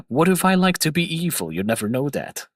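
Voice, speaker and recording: A man in his twenties hosting a casual livestream chat, excitable and rambling, laughing often. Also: on the high side, dry recording, clean studio sound. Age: 20s. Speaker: host.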